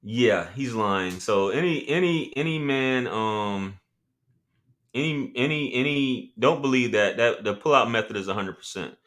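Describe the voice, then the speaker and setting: A man with a deep voice hosting a casual livestream, reading chat comments and talking loosely with profanity and laughing asides. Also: animated, a little slow, fairly bright tone.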